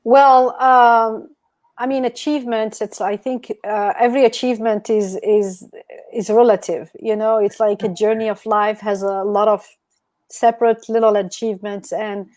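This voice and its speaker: Female guest from Algeria, mid-pitched, thoughtful and earnest, restarts her sentences. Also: steady tone, normal speed, a little mellow. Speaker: guest, business coach. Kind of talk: interview answers.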